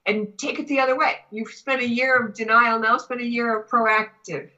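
A woman with a very bright, fairly high voice tells stories casually as a guest teacher. She is animated and conversational, and the recording is slightly dull and lo-fi. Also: moderate pace. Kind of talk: casual storytelling in a talk show.

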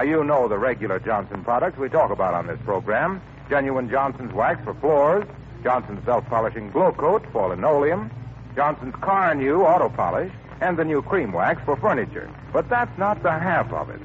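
A man with an American accent reading scripted announcements and sponsor copy as a 1940s radio announcer. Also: medium pitch. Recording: tinny vintage radio recording with faint noise.

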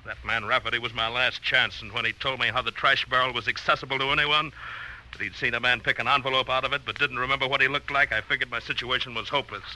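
A man's voice: medium pitch, fairly even intonation, fast talker, bright, forceful tone, poor audio. An actor playing a doomed, bitterly resigned man in a 1940s radio drama, speaking with grave, accusing deliberation on a vintage broadcast. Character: doomed, bitterly resigned man facing his blackmailing friend.

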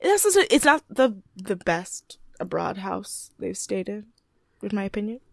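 A woman's voice: mid-range voice, sing-song, unhurried, creaky, no room sound. A woman co-hosting a podcast, casually recapping a reality show and filling her talk with "like" and laughter. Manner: chatty, lots of "like" and laughs. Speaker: podcast co-host.

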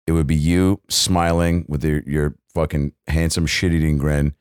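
Deep-voiced man riffing comic tangents. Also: flat delivery, normal speed, a little mellow, smooth, no echo, clean studio sound. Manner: riffing, tangents, acts out bits with swearing. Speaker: comedian podcast co-host. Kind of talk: casual podcast banter with comic riffs.

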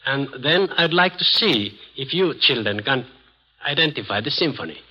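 A man appearing as a guest on a radio quiz, putting formal, measured questions to children in a slightly halting way. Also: medium pitch, animated, sharp tone, husky. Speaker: guest musician quizzing children. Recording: dull lo-fi vintage radio recording.

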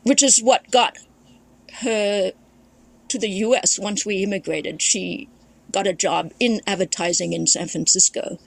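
A woman's voice: mid-range voice, fairly even intonation, a little slow, vocal fry. A woman from Thailand, a podcast guest and memoirist, recalling her family history in a reflective storytelling way, often restarting her sentences. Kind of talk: casual conversation.

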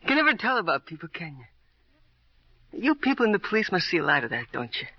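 An actor playing a bitter, brittle seventeen-year-old city boy in an old radio crime drama, halting and needling. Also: high-pitched, huge pitch swings, slightly rough, no room sound, full character voice. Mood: sly, insinuating. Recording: dull, dry old radio recording.

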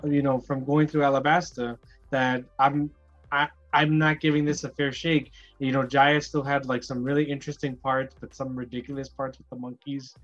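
Reflective man on a muffled mic. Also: fairly high pitch. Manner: reflective, rambling, many fillers and restarts. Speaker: podcast co-host. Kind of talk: casual podcast chat.

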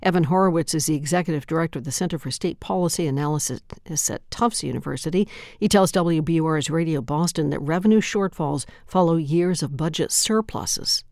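A woman hosting a local radio newscast, reading stories in a steady, measured way. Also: sits low, no room sound, studio quality. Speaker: local news host. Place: radio studio.

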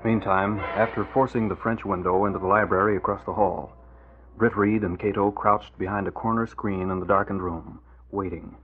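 A man narrating a 1940s radio drama in a dramatic, measured style. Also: on the low side, fairly even intonation. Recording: muffled old radio recording. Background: music.

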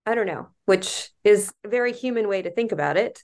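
A woman's voice: medium pitch, expressive, brisk, darkish tone. Meandering, thoughtful woman co-host. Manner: thoughtful, meandering, self-aware. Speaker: podcast co-host. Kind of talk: casual book discussion.

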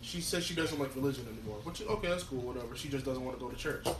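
Young man telling animated, profane stories. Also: medium pitch, very fast, recorded from a distance. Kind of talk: casual storytelling chat. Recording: echoey, off-mic with background noise.

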